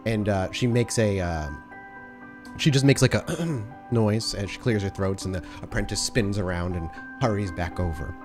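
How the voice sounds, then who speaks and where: Low-voiced male game master over music. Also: moderate pace. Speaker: game master of vampire role-playing podcast. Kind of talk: improvised tabletop narration. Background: background music.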